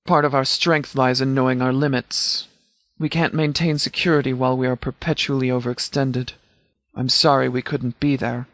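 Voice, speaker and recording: Game role: male actor as a composed department commander, dry and precise, mid-pitched male voice, close dry sound. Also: no room sound, natural voice. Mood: measured, dry. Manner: measured, formal, precise, deadpan. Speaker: game character, law officer. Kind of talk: video game dialogue voice lines.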